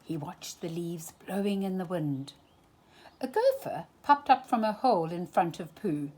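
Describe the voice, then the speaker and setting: Husky, slow storybook-reading woman. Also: fairly low pitch. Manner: expressive, animated read-aloud. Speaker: storytime reader. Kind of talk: reading a children's picture book aloud.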